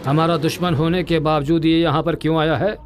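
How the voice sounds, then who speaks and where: Actor as an older, high-voiced authoritative uncle. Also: male voice, steady tone, brisk, a little hiss or hum, close to an everyday voice. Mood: grave. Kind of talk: dubbed film drama acting. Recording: loud film music behind. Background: loud film music.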